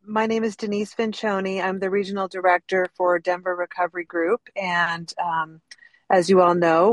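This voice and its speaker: A woman's voice: on the low side, no echo. A woman who is a regional director, introducing herself hesitantly with many fillers.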